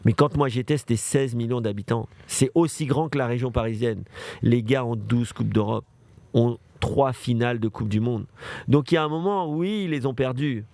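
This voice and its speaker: A man's voice: medium pitch, moderate pace, vocal fry, darkish tone, no room sound. A man in his thirties from France, a football show presenter, telling stories in casual talk and repeating phrases for emphasis. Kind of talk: casual chat on a livestream.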